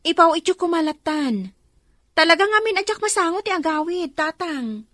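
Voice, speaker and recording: A woman acting an earnest, soft-hearted young student in a radio drama, with emotional delivery. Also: extremely high pitch, expressive, moderate pace, no room sound, clean studio sound. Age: young adult.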